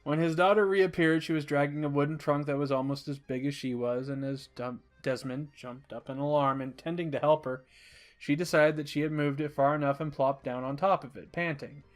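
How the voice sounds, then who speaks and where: A man's voice: on the high side, steady tone, brisk, faint music behind. A man reading a scripted story aloud as its narrator.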